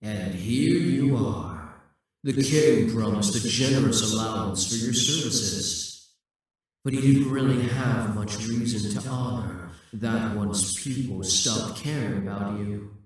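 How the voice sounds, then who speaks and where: A man playing a brooding, possessive demon king in a scripted audio roleplay, speaking deliberately with condescending grandeur. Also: lowish voice. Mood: taunting. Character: possessive, brooding demon king risen from death, seductive and imperious. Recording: echoing, reverberant, distant from the mic.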